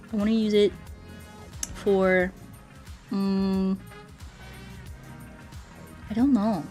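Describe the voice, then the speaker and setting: A woman talks casually on a gaming livestream over faint music. Her voice sits at an average pitch for a woman. She rambles playfully and answers viewers out loud.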